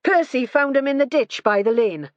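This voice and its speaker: A woman's voice: high-pitched, expressive, normal speed, no echo, muffled recording, pitched above the performer's own voice. A woman voicing a forthright, blunt middle-aged police inspector in an audiobook.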